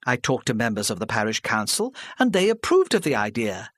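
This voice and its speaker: A man's voice: medium pitch, wildly swooping pitch, a little fast, fairly bright tone, no room sound, clean studio sound. A man voicing an older, middle-class woman who is anxious and earnest, speaking in a pleading, apologetic way.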